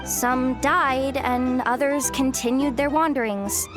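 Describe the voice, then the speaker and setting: An actress playing a blunt, world-weary young female guide in a fantasy game, curt, streetwise and deadpan. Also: high-pitched, takes it slowly, over music. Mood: jaded, matter-of-fact. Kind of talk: game voice acting.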